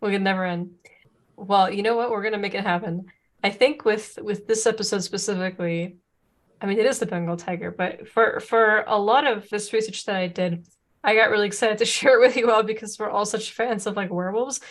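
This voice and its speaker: A woman's voice: mid-range voice, steady tone, fairly quick. A woman co-hosting a podcast in casual chat, rambling with many restarts, whose first language is Serbo-Croatian. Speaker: podcast co-host.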